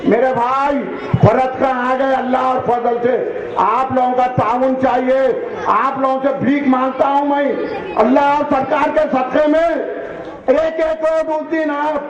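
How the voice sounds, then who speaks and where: A man's voice: very high-pitched, flat delivery, unhurried. A man with a Hyderabadi Deccani accent giving an impassioned, exhortative political speech at a public meeting, repeating his appeals in colloquial phrases. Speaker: political speaker. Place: public meeting, loudspeaker address. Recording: echoing PA system, dull lo-fi, crowd.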